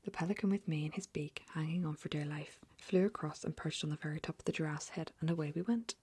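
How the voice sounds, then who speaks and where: Woman softly whispering a storybook. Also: low voice, fast, breathy edge, dry recording. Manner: soft, even storytelling.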